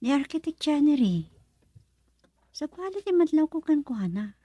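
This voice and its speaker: A woman's voice: high-pitched, sing-song, normal speed, mellow, dry recording. A woman with an Ilocano Filipino accent acting in a radio drama as a modest, hesitant young working woman and respectful daughter. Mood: guarded, respectful.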